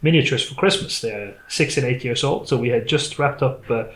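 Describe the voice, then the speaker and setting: A man from Norway with a Norwegian accent, a native Norwegian speaker chatting casually in English as a podcast guest, in a halting way. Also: medium pitch. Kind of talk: casual chat. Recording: roomy, echoey sound.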